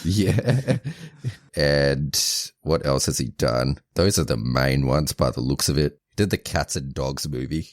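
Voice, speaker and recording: A man's voice: very deep voice, lively intonation, slow pace, no room sound, clean studio sound. A man co-hosting a podcast, casually retelling a movie plot with lots of restarts, self-corrections and amused laughs. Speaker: podcast co-host.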